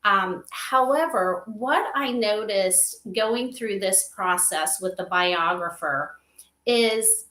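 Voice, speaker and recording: A woman's voice: mid-range voice, bright, forceful tone. A middle-aged woman talking earnestly and at length in a conversational interview, sharing personal anecdotes. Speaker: guest, spirit advisor for an AI memorial company. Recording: roomy, echoey livestream sound.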